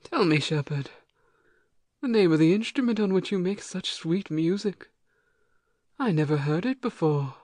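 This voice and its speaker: A woman playing a self-assured, hospitable male giant watchman with a hundred eyes, read in a measured, formal way for an amateur audio play. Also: on the low side, expressive, warm tone, no room sound. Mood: friendly, curious.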